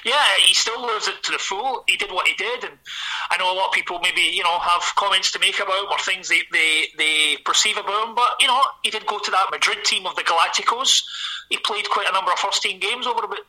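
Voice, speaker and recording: A man's voice: high voice, fast talker, bright, forceful tone, low-quality recording. A middle-aged man from Scotland with a Glaswegian accent, an author being interviewed, talking in a hesitant, restarting way. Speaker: author guest. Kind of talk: conversational interview.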